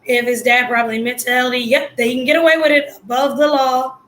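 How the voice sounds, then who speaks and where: A hoarse woman giving casual, blunt live reaction commentary full of slang. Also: sits high, little pitch movement, fairly quick, a bit bright. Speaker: livestream commentator.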